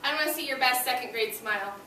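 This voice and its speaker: A woman with a high voice leads a read-aloud lesson for young children as their schoolteacher. She instructs and prompts them to respond. The recording is distant from the microphone, with clear room noise. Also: fast. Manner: instructive, prompting children to repeat after her. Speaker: elementary school teacher. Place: indoor school classroom. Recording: noisy echoey classroom, distant microphone.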